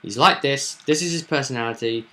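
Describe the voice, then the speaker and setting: A man's voice: mid-range voice, fairly quick, sharp tone. A man running a tabletop roleplaying game, explaining things in a reflective, conversational way. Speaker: game master.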